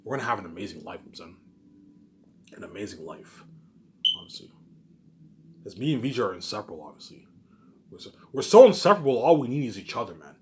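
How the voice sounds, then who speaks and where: Guy talking really quick in a casual vlog ramble, cocky, repeats his catchphrases, swears a lot. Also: lowish voice, sing-song, a little mellow, slight background noise. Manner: boastful, repetitive, with frequent catchphrases; emphatic, swearing freely. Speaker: online video creator.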